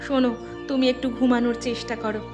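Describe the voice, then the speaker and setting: A woman's voice: on the high side, flat delivery, fast talker, over music, close to an everyday voice. A woman playing a devoted village mother and wife in a moral-story cartoon, caring yet firm. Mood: soothing. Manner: reassuring, scolding when cross.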